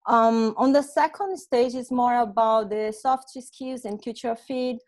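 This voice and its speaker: A woman's voice: on the high side, steady tone, measured pace, darkish tone. A young Brazilian woman with a Brazilian accent giving an informal online presentation in a conversational, hesitant way over a headset. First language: Portuguese. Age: young adult. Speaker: presenter, software testing specialist. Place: small room at home, indoors. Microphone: earphone headset on video call.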